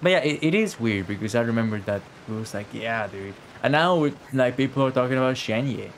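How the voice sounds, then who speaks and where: A man's voice: mid-range voice, animated, brisk, a little hiss or hum. A man streaming a game with casual, rambling live commentary full of slang and swearing. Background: faint game music.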